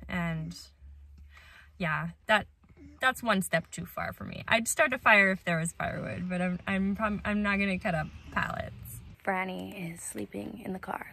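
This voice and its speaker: A woman's voice: medium pitch, bright, forceful tone. A woman in her thirties chatting casually and playfully to a handheld camera about her day, with self-deprecating humor. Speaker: family vlogger, mother. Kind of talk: casual chat to camera about daily chores. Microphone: camera or phone at arm's length. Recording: handheld recording with clear background noise.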